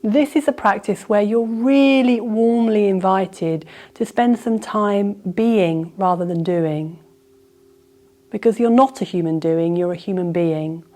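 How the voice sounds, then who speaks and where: A woman teacher leading a guided yoga nidra meditation, improvising gently in a soothing, unhurried way with reflective pauses. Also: medium pitch, soft background music.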